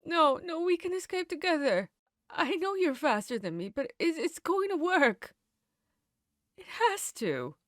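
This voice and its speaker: A woman's voice: high-pitched, sing-song, measured pace, dry recording, clean studio sound, full character voice. A woman playing a protective, caring vampire hunter in an intimate fantasy audio roleplay, speaking directly to the listener. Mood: desperate, distraught. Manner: intimate, addressing the listener directly, shifting between hushed and urgent.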